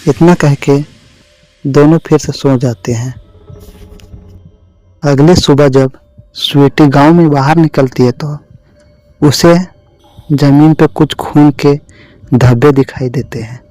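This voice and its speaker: Male horror storyteller, soft dark tone, unhurried, faint music underneath. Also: a little high, fairly even intonation, no room sound, rough recording. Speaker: narrator. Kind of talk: scripted horror story narration.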